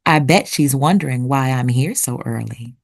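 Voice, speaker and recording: Woman, deep register, slight gravelly creak, unhurried, expressive children's read-aloud narrator, teacherly delivery.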